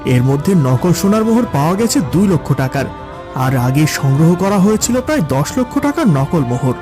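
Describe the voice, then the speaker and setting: A man's voice: on the high side, sing-song, normal speed. A man voicing a careful, dutiful royal treasurer in a cartoon, formal and earnest. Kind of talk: cartoon dialogue. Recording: music under the voice.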